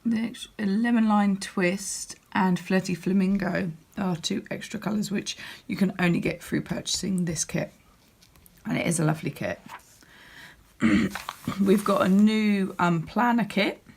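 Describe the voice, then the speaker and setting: A woman with a croaky voice, chatting casually as she walks through a crafting catalogue, with lots of ums and restarts. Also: medium pitch, unhurried, a little mellow. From United Kingdom. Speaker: crafting demonstrator. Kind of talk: casual product walkthrough.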